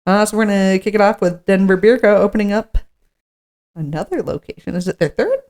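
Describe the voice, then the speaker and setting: A woman's voice: mid-range voice, animated, a little fast, darkish tone. A woman co-hosting a podcast in an informal chat, partly reading from notes and thinking aloud. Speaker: podcast co-host.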